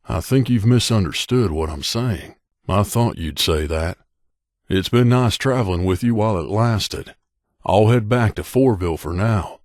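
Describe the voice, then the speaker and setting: A man playing a grizzled, guarded veteran mercenary in a video game, with a gruff, curt, world-weary manner. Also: on the low side, a little mellow, no room sound, clean studio sound. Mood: questioning, subdued. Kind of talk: video game companion dialogue.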